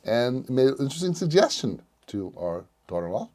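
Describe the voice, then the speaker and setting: Lively older New man. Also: mid-range voice. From New York. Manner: animated, quick, talks over others. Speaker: podcast co-host. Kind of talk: casual chat.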